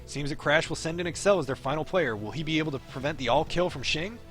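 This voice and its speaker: A man's voice: a little high, fast, fairly bright tone. A man reading a visual novel aloud on a stream, in dramatic narration with an esports commentary flavour. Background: faint game music.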